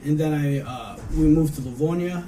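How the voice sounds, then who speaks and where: A man's voice: on the high side, normal speed, mellow, distant mic, slight background noise. A man in his thirties with an American accent, giving casual, conversational answers as an interview guest. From Michigan, United States. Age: 30s. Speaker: interview guest, restaurant manager.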